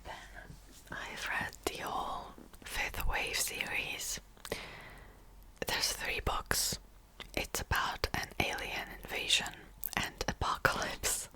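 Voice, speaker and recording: Slow whispering woman. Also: poor audio. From Czech Republic. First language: Czech. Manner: soft unvoiced whisper, rambling with small laughs. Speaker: ASMR creator. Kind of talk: whispered ASMR reading wrap-up.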